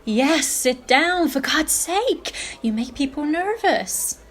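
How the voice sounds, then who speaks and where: A woman's voice: high-pitched, lively intonation, takes it slowly, fairly bright tone, full character voice. A woman playing a blunt, tactless fortune-teller in a radio play, brusque and matter-of-fact with dry gloom. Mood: irritated, brusque.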